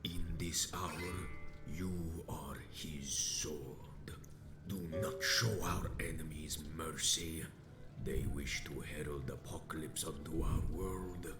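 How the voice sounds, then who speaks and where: An actor playing a badly wounded, monstrous vampire elder in a video game, grave and vengeful, with a breathy rasping whisper and archaic, theatrical phrasing. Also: male voice, rumbling low, full character voice. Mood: ominous, solemn. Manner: theatrical, archaic, slow pronouncements. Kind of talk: dramatic video game acting. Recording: echoey, with loud music underneath. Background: music.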